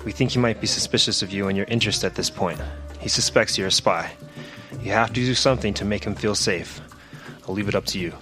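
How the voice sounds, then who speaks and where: An actor playing a cautious, experienced, businesslike spy handler in a sci-fi noir audio drama, giving terse instructions. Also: male voice, on the low side, quick pace, natural voice. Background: music.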